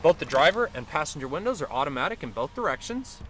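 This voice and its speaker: A man's voice: a little high, sing-song, quick pace, noisy background. A man giving an enthusiastic, detailed car review, now and then correcting himself mid-sentence, recorded in and around a car. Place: inside and around a car, outdoors.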